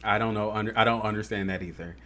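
A man's voice: fairly low pitch, steady tone, fast, a bit bright. A man co-hosting a podcast, talking casually with plenty of fillers and frequent laughter. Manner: chatty, laughs a lot, many fillers. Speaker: podcast co-host. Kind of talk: casual chat.

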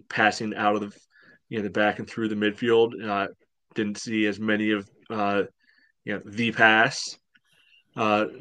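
A man's voice: lowish voice, a bit bright, dry recording. A man giving casual game analysis as a podcast co-host, rambling and hedging as he goes. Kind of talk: casual sports analysis chat.

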